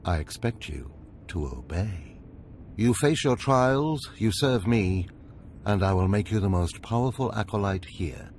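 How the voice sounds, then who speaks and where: An actor playing a stern, scheming older Sith overseer in a video game, speaking in a commanding, measured, formal way. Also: male voice, on the low side, animated, a little mellow, slight background noise.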